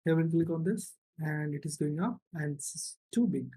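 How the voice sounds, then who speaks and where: A man's voice: fairly high pitch, normal speed, dark tone, breathy edge. A man narrating an informal step-by-step screencast as a programming instructor, often checking in with "right" or "okay".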